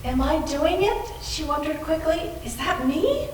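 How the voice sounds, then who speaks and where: Woman acting a high-voiced haunted, anxious woman, echoey. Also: lively intonation, measured pace. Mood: uneasy. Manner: dramatic, reads own dialogue tags. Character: anxious, haunted young woman Eleanor, guilt-ridden. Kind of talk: readers theater narration and dialogue. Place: library room, live staged reading. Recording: echoey room, far from mic, faint noise.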